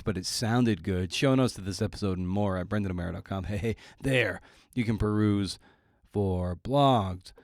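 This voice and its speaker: A man's voice: on the low side, darkish tone, no room sound, studio quality. A man hosting a podcast solo, talking casually with wry, playful, slangy, self-deprecating asides. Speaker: podcast host. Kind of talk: casual podcast monologue with announcements.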